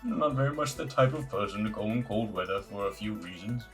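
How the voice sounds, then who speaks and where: A man voicing a huge, kindly goat-monster king in a courteous, measured way, reading from a visual novel. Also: lowish voice, animated, faint music behind, natural voice.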